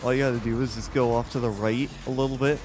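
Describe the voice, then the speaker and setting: A man with a loud voice giving rambling, casual commentary while streaming a game live, often restarting his sentences and talking to the game. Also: medium pitch, fairly even intonation, quick pace, over music. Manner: rambling, self-correcting, talks to the game.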